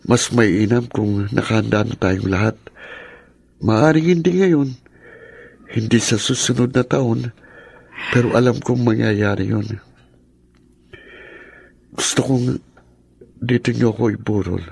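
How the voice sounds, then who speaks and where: Filipino radio drama: ailing, grieving teen boy, gentle, resigned, creaky delivery. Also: medium pitch, takes it slowly, long pauses, dry recording, exaggerated voice. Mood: sad, resigned. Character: sick teenage boy, grieving his sister, gentle, resigned.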